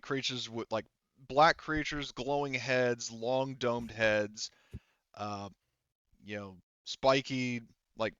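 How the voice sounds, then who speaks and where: A man's voice: medium pitch, fairly bright tone, clear, resonant tone, no room sound. Man running a game, muffled and dry. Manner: halting, rambling, informal and profane. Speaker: game master. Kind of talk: improvised narration of a tabletop roleplaying game.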